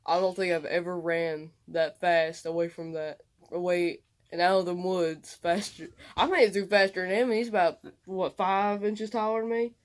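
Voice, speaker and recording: A young man recounting an experience casually and excitably in colloquial speech. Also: sits high. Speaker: co-host telling a personal story. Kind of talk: casual storytelling chat.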